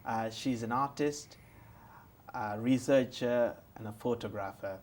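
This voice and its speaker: A male talk show host conducts a conversational interview at a middling pitch. He feels his way through questions, pausing and rewording them as he speaks. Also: a little mellow, slight background noise.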